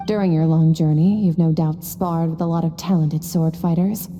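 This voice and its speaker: Woman acting as aloof sword mentor lady, low dark mellow voice, game dialogue, big reverb n music behind. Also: fairly even intonation, lightly acted. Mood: cool, instructive. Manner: cool, measured, lecturing, terse. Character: stern, aloof female master swordswoman and mentor. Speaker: sword instructor. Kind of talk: scripted video game voice acting.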